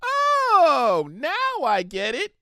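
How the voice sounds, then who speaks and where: A man voicing a bouncy, excitable, scatterbrained young female pony who rambles and exclaims naively in a cartoon fan fiction reading. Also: very high voice, very slow, gravelly, no echo, clean studio sound, voice pushed up, exaggerated voice. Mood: curious.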